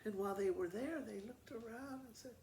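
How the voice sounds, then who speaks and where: A man's voice: very high-pitched, brisk, gravelly, a little mellow. A man telling a children's story in a simple, conversational way, informally explaining it as he goes. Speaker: school principal reading to pupils. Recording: roomy echo, faint background noise.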